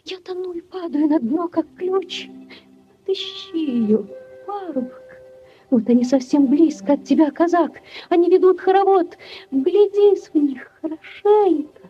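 An actress playing a sorrowful young drowned water spirit in a breathy, plaintive 1940s Soviet radio style. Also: female voice, very high-pitched, a little slow. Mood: anguished, pleading. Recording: dull 1940s radio recording, faint music.

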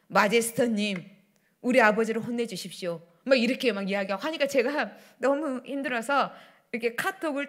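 A middle-aged woman pastor preaching a sermon at a church pulpit, swinging between loud declarations and hushed asides. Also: on the high side. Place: church sanctuary, standing at pulpit. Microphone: pulpit microphones.

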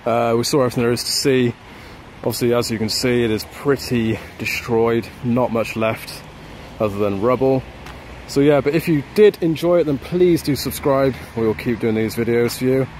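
A man's voice: mid-range voice, moderate pace, slight background noise. A young man, casually presenting an urban exploring vlog. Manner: chatty, upbeat presenter, hushed while exploring. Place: car interior, then abandoned building.

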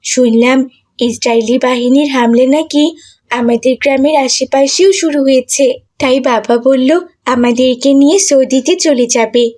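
A woman's voice: sits high, fairly even intonation, normal speed, darkish tone. A woman voicing a caring, polite young village girl in a cartoon. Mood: polite, sad. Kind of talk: cartoon voice acting.

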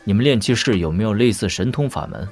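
A young martial-arts lord, roguish and curious, in an audio drama. He talks in a teasing, conversational way with very animated pitch over faint music. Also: quick pace. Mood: inquisitive.

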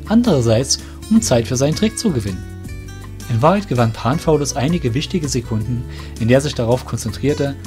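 A man narrating a scripted fantasy story as the narrator of an audio drama. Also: medium pitch. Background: soft music.

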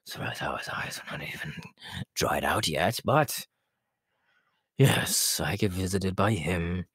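A man playing an inhuman, menacing, giggly killer clown in a horror roleplay, with a raspy, breathy voice that slips into whispers and a rambling, teasing manner. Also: deep voice, animated, takes it slowly, a bit bright, no room sound, clean studio sound, full character voice. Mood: rambling, amused. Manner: rambling, teasing, laughing at himself, erratic swoops. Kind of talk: in-character horror roleplay monologue.

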